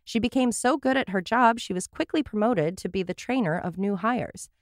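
A woman's voice: mid-range voice, moderate pace, dry recording, studio quality. A woman hosting a true crime podcast, reading her case notes with wry, sarcastic asides. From Portland area, USA.

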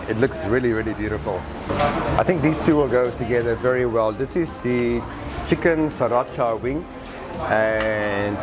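A middle-aged man chats straight to a close camera in a restaurant, eager and full of praise as he tastes dish after dish. His pitch sits at an ordinary middle height for a man, and clear music plays behind him. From South Africa. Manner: enthusiastic, talks to camera while eating. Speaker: restaurant reviewer. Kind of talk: food review vlog. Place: indoor restaurant by a busy street.

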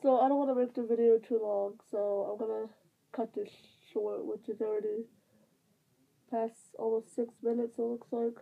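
A woman's voice: fairly high pitch, level tone, normal speed, vocal fry. A young woman with a stuffy nose, rambling casually through a vlog update while lying on her bed. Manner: rambling with many fillers and restarts. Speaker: vlogger. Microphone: device camera at close range. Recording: tinny phone-like sound.

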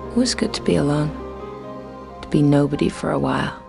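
A woman's voice: deep voice, expressive, a little fast, vocal fry, music in the background, full character voice. A woman voicing a young woman stranded on a dinosaur island, who talks to herself in a wry, frightened way. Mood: reflective. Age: young adult. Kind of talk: game dialogue and narration.

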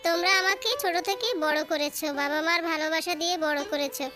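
A woman's voice: extremely high pitch, steady tone, fast. A woman voicing an earnest, insistent young fairy daughter in a cartoon, plaintive and emotional. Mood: resolute, loving. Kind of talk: cartoon voice acting. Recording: loud music under the voice.

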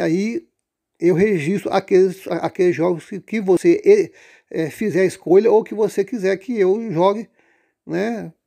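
A man casually pitching a lottery pool, rambling with many fillers and restarts. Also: vocal fry, mellow.

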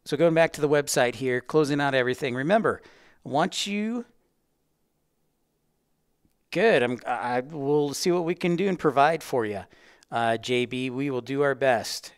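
A man's voice: medium pitch, a little slow, pauses often, clear, resonant tone, dry recording, clean studio sound. A man hosting a casual educational livestream, chatty and rambling as he talks directly to his viewers. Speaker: livestream host, aviation educator.

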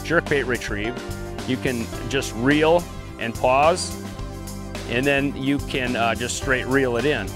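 A man's voice: medium pitch, slow pace. A man with a folksy, colloquial way of talking, demonstrating and chatting as an angler on a fishing show, outdoors on a boat. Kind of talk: fishing demonstration and casual chat. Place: outdoors on a boat on open lake. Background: music.

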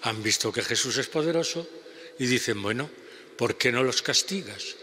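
An older man with a Spanish accent preaching a homily at a lectern, slow and measured with pauses. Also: medium pitch, expressive, sharp tone. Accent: Spanish (Spain). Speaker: priest. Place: large church basilica. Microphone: lectern gooseneck mic. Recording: long church reverb.

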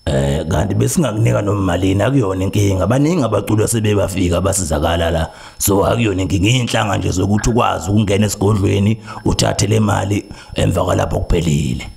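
A South African man voicing a solemn, slow-spoken traditional healer in a prank call, deliberate and hesitant as he repeats himself. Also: deep voice, vocal fry, a little mellow. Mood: persuasive.

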